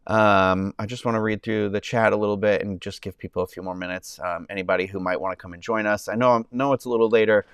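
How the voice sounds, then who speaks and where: A man hosts a relaxed live stream from home, talking in a nasal, congested voice from a summer cold. He rambles with frequent ums and restarts while answering his chat. His voice is smooth and clear, recorded dry and close on a clip-on mic. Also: on the low side, fast talker, hardly any pauses, dry recording. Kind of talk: casual chat with live chat viewers. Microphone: clip-on mic under his shirt.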